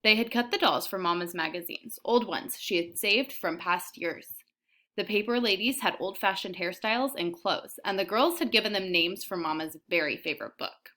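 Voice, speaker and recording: A woman teacher reads aloud to children in a mid-pitched voice. Her tone is bright and forward, in the manner of classroom story narration. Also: moderate pace. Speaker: elementary teacher reading aloud.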